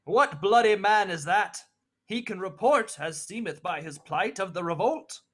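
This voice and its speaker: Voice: mid-range voice, lively intonation, unhurried, a bit bright. A student actor playing a grateful, regal king of Scotland, declaiming in the style of a 1940s radio Shakespeare play. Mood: concerned. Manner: stately, declamatory.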